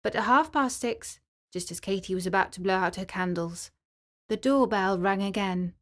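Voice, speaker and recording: A woman storyteller narrating a children's story in an expressive, clearly articulated storybook manner. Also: mid-range voice, moderate pace.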